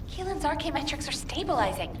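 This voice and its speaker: An actress playing an alert mission support operator in a sci-fi game, reporting in a technical manner. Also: female voice, high voice, big pitch swings, quick pace, music in the background. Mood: focused. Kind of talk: video game voice acting. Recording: distant, very noisy game audio.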